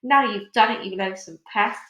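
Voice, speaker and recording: A woman's voice: mid-range voice, normal speed, a bit bright, echoey room, close to an everyday voice, rough recording. A woman voicing a timid, gloomy earthworm in a children's storybook, fretting and scolding out of fear. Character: timid, gloomy blind earthworm, easily frightened.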